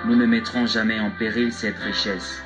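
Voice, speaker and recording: Low-voiced man reciting over loud music. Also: little pitch movement. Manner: measured, solemn, declamatory. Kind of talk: solemn recited peace message.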